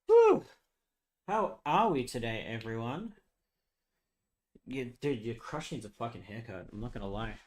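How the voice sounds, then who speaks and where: A hoarse young man chatting casually with viewers on a live stream, rambling, laughing often and swearing freely. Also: mid-range voice, big pitch swings, a little slow, long pauses.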